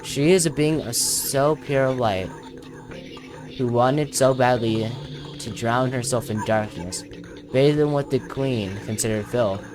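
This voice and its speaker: A man's voice: medium pitch, slow, music in the background, poor audio. A man commentating casually on his own video game playthrough, thinking aloud as he plays.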